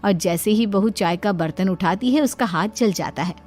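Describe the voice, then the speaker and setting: A woman narrating a cartoon family drama in a mid-pitched voice. She tells it plainly and steadily, like a storybook. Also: normal speed, loud music behind the voice.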